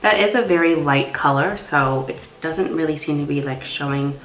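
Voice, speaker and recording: A young woman speaks in a low voice, a little stuffy from a cold. She talks casually through a makeup tutorial as a beauty vlogger. The room adds noticeable echo, and faint music plays behind her. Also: moderate pace, fairly bright tone. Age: young adult. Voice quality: slightly stuffy, congested. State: has a cold. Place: small indoor room. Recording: roomy echo.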